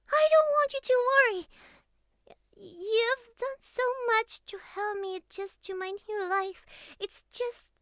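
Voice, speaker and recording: A naive young catgirl speaks in a tiny, extremely high voice. She talks slowly and hesitantly, with childlike, pleading earnestness. It is a clingy, intimate ASMR roleplay, recorded very dry and close. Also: a bit bright, no room sound, exaggerated voice. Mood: anxious, affectionate. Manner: childlike, hesitant, pleading, slightly formal phrasing.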